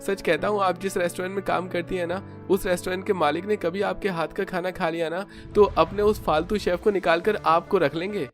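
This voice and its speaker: A man puts on a young brother's voice for a cartoon, pitched well up. The character is earnest, eager and protective, with music playing behind him. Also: fairly even intonation, brisk, few pauses. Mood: admiring.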